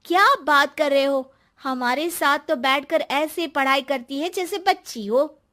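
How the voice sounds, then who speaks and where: Snooty young female classmate put on by a woman narrator, cartoon tale, very high voice, pert and spiteful. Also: a little slow, fairly bright tone. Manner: pert, taunting.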